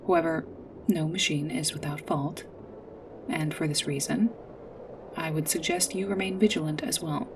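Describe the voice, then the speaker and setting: A woman playing a polite android guide in a sci-fi ASMR roleplay, speaking in a formal, precise, measured way. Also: sits low, fairly even intonation, far from the mic, noisy background. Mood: formal, helpful. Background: music.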